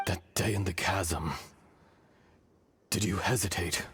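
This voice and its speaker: A solemn ancient earth dragon spirit. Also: male voice, low voice, steady tone, slight background noise. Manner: slow, grave, measured. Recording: studio voice with loud game score. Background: loud orchestral music.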